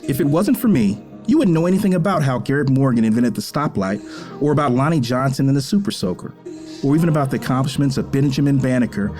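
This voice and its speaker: A man playing a warm, teasing middle-aged father in an audio drama, encouraging and gently lecturing. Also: mid-range voice, lightly acted.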